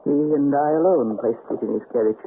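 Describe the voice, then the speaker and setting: A man's voice: medium pitch, a little fast, vocal fry, narrow, tinny audio, natural voice. An actor playing a loyal, fatherly doctor, earnest and anxious, in an old-time radio drama. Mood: grave. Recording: noisy band-limited vintage radio recording.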